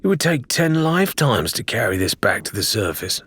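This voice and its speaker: A man's voice: mid-range voice, sing-song, moderate pace, a bit bright, slight background noise. A man voicing a brusque, commanding woman pirate in an audiobook, with a snappy, dry manner. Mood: stunned. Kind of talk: audiobook character voice.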